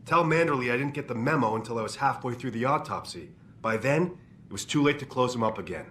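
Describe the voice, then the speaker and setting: A man's voice: medium pitch, expressive, quick pace, a bit bright, a little hiss or hum, close to an everyday voice. A man voicing a weary male coroner in a game, talking in a dry, offhand way.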